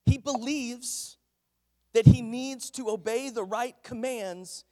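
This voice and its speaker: Stuffy-voiced pastor, high voice. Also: male voice, takes it slowly, dark tone. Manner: folksy, earnest preaching. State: congested head cold. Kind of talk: sermon. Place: church sanctuary. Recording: echoey church hall.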